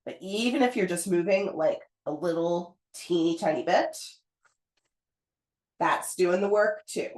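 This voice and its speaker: A pilates instructor who is getting over a cold and sounds hoarse, calmly talking a class through each move in an encouraging way. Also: female voice, lowish voice, measured pace. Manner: calm, encouraging step-by-step cueing. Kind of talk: guided pilates class cues. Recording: roomy echo.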